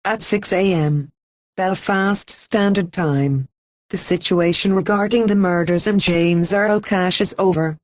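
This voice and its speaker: A woman reading a scripted emergency broadcast announcement in an even, formal manner with slow, deliberate pauses. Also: fairly low pitch, no room sound.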